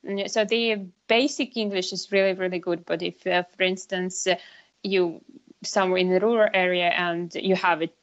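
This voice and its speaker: Female interview guest, Ukrainian accent, gravelly fry in the voice, conversational delivery, slightly muffled recording. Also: on the low side, steady tone, normal speed.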